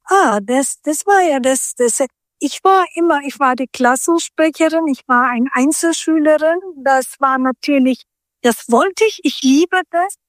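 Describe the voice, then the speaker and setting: A middle-aged woman from Turkey speaks in a high voice. She talks slowly and reflectively, often restarting sentences as she hunts for words. The recording is close and dry, with no room sound. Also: no echo. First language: Turkish. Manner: thoughtful, searching for words with frequent restarts. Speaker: guest. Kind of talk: reflective interview conversation.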